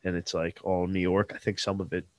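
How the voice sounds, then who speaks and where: Congested young man with a low voice. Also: flat delivery, brisk, a little mellow, a little hiss or hum. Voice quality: nasal. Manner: rambling, many fillers and restarts. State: stuffed-up nose. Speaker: podcast co-host presenting history trivia. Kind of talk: casual sports podcast chat.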